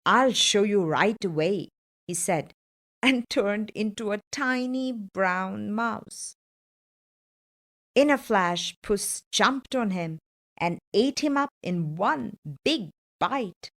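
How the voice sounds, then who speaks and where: A woman's voice: mid-range voice, lively intonation, slow, a bit bright. A woman narrating a children's fairytale from a script, as a storyteller.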